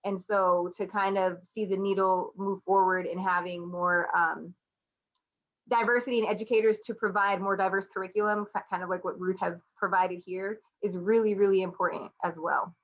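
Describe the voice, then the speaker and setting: A dull, lo-fi recording carries a woman from the United States with a medium-pitched voice. She speaks as a panelist in a casual, reflective discussion. Her delivery is candid and conversational, with emphatic asides. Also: fairly even intonation, moderate pace, fairly bright tone. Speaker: artist, educator and student panelist.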